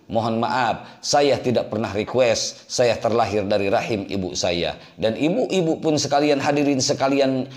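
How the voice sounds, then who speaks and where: A man's voice: mid-range voice, darkish tone. A man from West Java preaches to a congregation as an Islamic teacher, explaining didactically with repeated phrases and rhetorical questions. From West Java, Indonesia. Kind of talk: religious sermon to a congregation.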